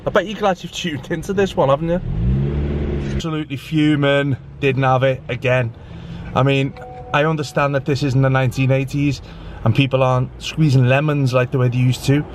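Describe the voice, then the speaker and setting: A man's voice: medium pitch, normal speed, background noise. A young man, chatting casually to camera in a rambling, self-mocking way while getting over the flu. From England. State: recovering from flu. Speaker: vlogger. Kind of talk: casual vlog chat to camera.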